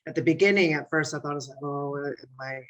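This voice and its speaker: A woman's voice: sits low, quick pace, highs cut off. A woman guest in a casual chat who talks hesitantly with frequent restarts.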